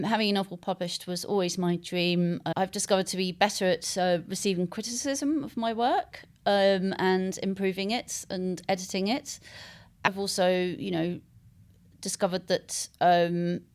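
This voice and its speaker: Smooth-voiced woman, thoughtful and halting. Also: medium pitch, steady tone. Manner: thoughtful, halting, searching for words. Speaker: interviewed novelist. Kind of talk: literary interview, unscripted conversation.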